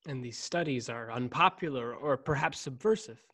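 A man's voice: mid-range voice, a bit bright, lightly acted. A man in his thirties playing a brooding, stiffly formal clone in a science-fiction radio play. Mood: serious. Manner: measured, stiffly formal diction. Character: brooding, formal young man, secret clone of noble family. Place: home room on video call. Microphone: desk condenser microphone, headset.

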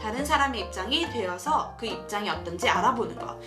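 A woman hosting a children's show, reading a storybook and asking questions in an animated, sing-song, teacherly way. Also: mid-range voice, brisk, music in the background. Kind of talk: storybook narration and chat with a child.

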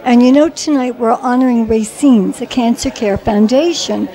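A woman's voice: a little high, moderate pace, dark tone, rough recording. A middle-aged woman interviewing honorees at an indoor gala press wall, asking upbeat, affirming questions into a handheld mic. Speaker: red carpet interviewer. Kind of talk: red carpet interview.

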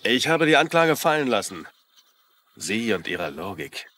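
An actor playing a gruff, stubborn small-town marshal in a dubbed TV drama. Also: male voice, mid-range voice, sing-song, unhurried, pushed and bright, a little hiss or hum. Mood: grudging.

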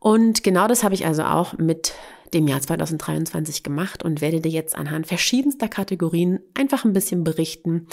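A woman's voice: lowish voice, darkish tone. Chatty woman with a slight cold. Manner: chatty, candid, with fillers and laughs. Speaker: podcast host. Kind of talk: casual solo podcast monologue.

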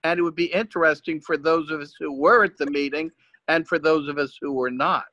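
Earnest guy in a club meeting, bright fairly high voice, lo-fi video call. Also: fairly even intonation, fairly quick, no room sound. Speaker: club member. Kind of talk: casual meeting discussion. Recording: dull lo-fi video call audio.

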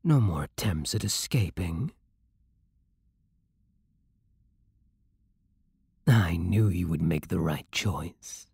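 A man plays a regal, possessive vampire king with a British accent in an ASMR roleplay. The voice is very breathy and close, in a dry recording. He speaks slowly, with haughty, seductive menace. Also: deep voice, animated, dry recording, full character voice. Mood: coolly threatening. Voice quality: breathy, soft. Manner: slow, menacing, haughty purring.

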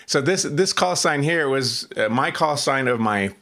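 A man in his fifties hosting a casual, relaxed tutorial from a small home radio room, chatting and thinking aloud as he demos on screen. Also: mid-range voice, unhurried. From Oregon, US. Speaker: amateur radio channel host.

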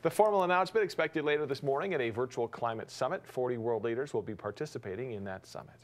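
A man in his forties anchoring a morning news show in a TV studio, reading stories briskly with chatty asides, on a lapel mic. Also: mid-range voice, expressive. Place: TV studio with city-skyline backdrop.

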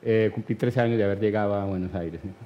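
A man in his fifties with a Colombian accent, answering thoughtfully and haltingly as an interview guest, often adding tag questions. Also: fairly low pitch, steady tone, muffled recording. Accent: Colombian, Medellín area. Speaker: guest, writer. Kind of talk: reflective conversational interview answers. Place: TV studio.

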